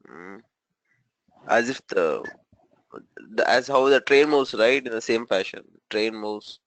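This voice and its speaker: A man's voice: fairly low pitch, takes it slowly, a bit bright, no room sound, rough recording. A man with a cold teaching an online training session, explaining step by step and often checking in with "right?". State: has a cold, voice low. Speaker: SAP trainer. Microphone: video call.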